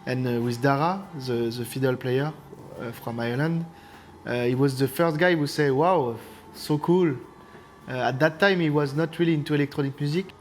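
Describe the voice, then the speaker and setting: A man's voice: mid-range voice, normal speed, a little hiss or hum. A man from France speaking English. He plays synths in a band and tells its story hesitantly in a documentary interview, with frequent fillers.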